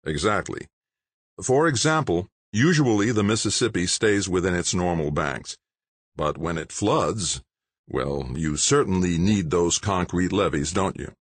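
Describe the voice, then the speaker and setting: A man's voice: low voice, a little slow, breathy edge, no room sound. A man voicing a smug male federal storm official who speaks pointedly. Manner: smirking, pointed.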